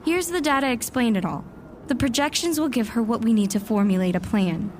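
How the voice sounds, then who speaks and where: A woman's voice: fairly high pitch, brisk, slight background noise, natural voice. A woman acting a young, self-assured warlock student who narrates and speaks in a fan audio drama. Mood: exasperated.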